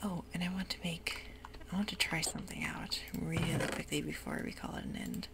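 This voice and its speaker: A woman whispering soft, hushed ASMR narration over gameplay at home, with frequent ums, as keyboard clicks sound behind her. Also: sits low, animated, fairly quick, vocal fry. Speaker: ASMR gaming creator.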